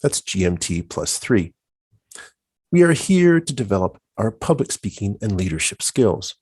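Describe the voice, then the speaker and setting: A man's voice: on the low side, big pitch swings, a little slow, a little mellow, breathy edge, no room sound. A man hosting an online club event, reading a welcoming scripted introduction. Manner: welcoming, announcing. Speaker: event host.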